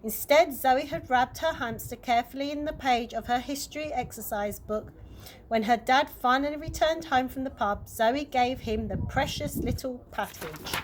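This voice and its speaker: A woman narrates a children's bedtime story in a fairly high voice. She reads aloud from a chapter book as an early years worker would. The recording has clear noise behind her. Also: normal speed, rough recording.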